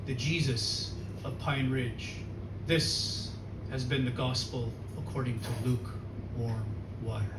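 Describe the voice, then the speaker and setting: A man's voice: mid-range voice, fairly even intonation, takes it slowly, distant mic. A man from South Dakota performing spoken word poetry with a rhythmic, dramatic delivery and a sardonic humor. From South Dakota, USA. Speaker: featured poet. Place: poetry reading venue, indoors. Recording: echoey room recorded from afar, noisy.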